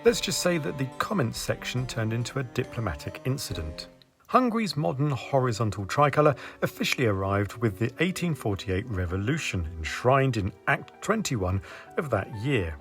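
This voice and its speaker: A man's voice: medium pitch, very animated, moderate pace, a bit bright. A man hosting a history video, narrating a script with dry, wry asides. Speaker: video host. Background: background music.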